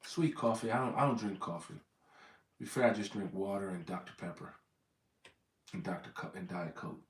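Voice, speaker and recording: A man's voice: deep voice, moderate pace, reverberant, distant mic. An American man from Southern California in his thirties, rambling casually to his viewers and correcting himself as he goes. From Southern California, USA. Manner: meandering, corrects himself often. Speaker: vlogger.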